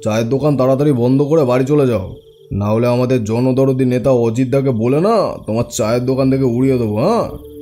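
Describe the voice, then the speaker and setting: A man voicing a rude young village thug in an animated ghost story, with a mocking, bullying way of talking. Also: mid-range voice, brisk, soft background music, natural voice. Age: young adult.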